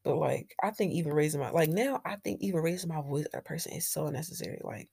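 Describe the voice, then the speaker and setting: Young woman, gravelly and husky. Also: sits low, lively intonation, quick pace, dark tone, no echo, studio quality. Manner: candid, blunt, swears, laughs often. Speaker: podcast host. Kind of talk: casual solo podcast monologue.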